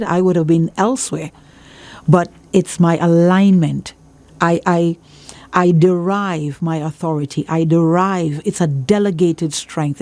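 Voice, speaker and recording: Mellow Trinidadian woman, emphatic church leader. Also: on the low side, no room sound. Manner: emphatic, repeats phrases for emphasis. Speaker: church leader, interview guest. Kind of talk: conversational interview with preacher-like testimony.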